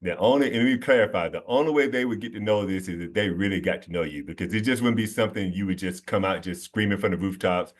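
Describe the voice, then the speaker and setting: A man with a low voice hosts a relaxed conversation series. He talks in a friendly, easygoing way, with plenty of fillers and ready laughter. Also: fast talker, few pauses. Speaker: host. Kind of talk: casual conversation.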